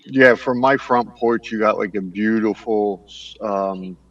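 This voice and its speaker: A man's voice: on the low side, measured pace, no room sound. A man from Florida chatting on a voice call in a laid-back, hesitant way. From Florida, USA. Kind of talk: casual voice chat. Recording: dull, dry voice-call audio.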